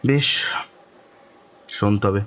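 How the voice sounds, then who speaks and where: An actor playing a cheerful middle-aged club raconteur who tells horror stories in a teasing, deliberate, cryptic way. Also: male voice, fairly low pitch, a bit bright. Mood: reminiscing. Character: cheerful older club raconteur turning mysterious storyteller. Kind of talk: dramatised horror audio story.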